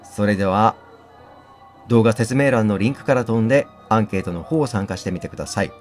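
A man's voice: lowish voice, a little fast, soft background music. A man hosting a game playthrough, reading text aloud and commenting on it.

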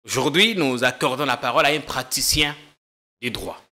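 A man in his thirties hosting a political talk show in a TV studio, questioning his guest briskly. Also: medium pitch, pushed and bright. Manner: brisk, probing interviewer. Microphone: desk microphone.

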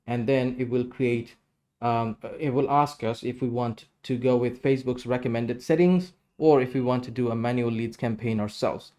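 A man's voice: mid-range voice, mellow. A man in his thirties giving a casual screen-share tutorial, explaining each step as he goes. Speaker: tutorial presenter.

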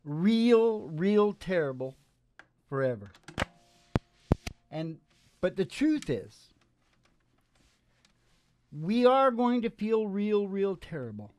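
A middle-aged man hosting a music show with playful, unhurried, rambling stage patter full of joking asides. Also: high voice, big pitch swings, a little mellow, slight background noise. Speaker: host of a music and comedy show. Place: small dim curtained stage room. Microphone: stand microphone. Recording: guitar playing behind the voice. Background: acoustic guitar.

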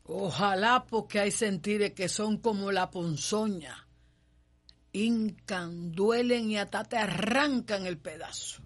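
A knowing, fatherly plantation slave in a radio drama talks in rustic Cuban dialect. The voice is high for a man and moves slowly, drawing out words. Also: heavily acted. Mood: sorrowful concern. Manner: rustic dialect, drawn-out delivery. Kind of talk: radio soap opera acting.

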